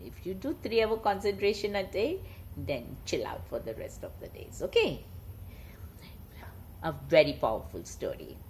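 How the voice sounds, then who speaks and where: Animated woman chatting with emphatic repetition. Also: medium pitch, moderate pace, slight background noise. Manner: emphatic, repeats words for stress. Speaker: online storyteller and talker. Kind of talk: rambling casual chat and reading stories aloud.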